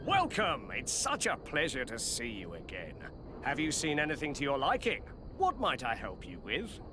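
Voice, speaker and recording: An actor playing a timid, deferential shopkeeper in a video game, speaking hesitantly. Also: male voice, mid-range voice, wildly swooping pitch, moderate pace, background noise. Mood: obliging. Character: timid, obliging male shopkeeper, anxious newcomer. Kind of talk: game cutscene acting.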